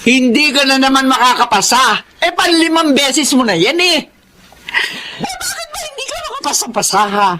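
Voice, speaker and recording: A woman's voice: big pitch swings, moderate pace, pushed and bright, heavily acted. A woman playing a scolding, exasperated, belittling Filipino mother in a radio drama, with a nagging, mocking delivery. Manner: nagging, mocking, shrill outbursts. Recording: clear background noise.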